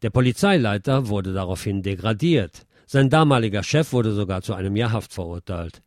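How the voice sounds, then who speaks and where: A man's voice: fairly low pitch, lively intonation, moderate pace, a little mellow, no echo, studio quality. Male radio news presenter, wry scripted delivery.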